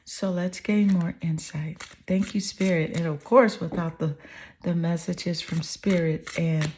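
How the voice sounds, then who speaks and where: A slightly hoarse woman giving an earnest, affirming tarot reading to the viewer, often checking in with "okay?" and "you know". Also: on the low side, fairly even intonation, measured pace, a little mellow, slight background noise. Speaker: tarot reader.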